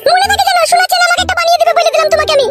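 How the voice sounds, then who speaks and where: A woman voicing a sharp-tongued, quarrelsome village wife in a comedy cartoon. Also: fairly even intonation, very fast, music in the background. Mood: demanding. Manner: shrill, cheeky, quarrelsome. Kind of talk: Bengali comedy cartoon dubbing.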